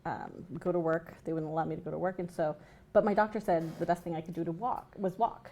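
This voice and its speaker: A woman activist, a guest on a talk show, speaking in an animated way with frequent "you know". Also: lowish voice, quick pace. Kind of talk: casual chat.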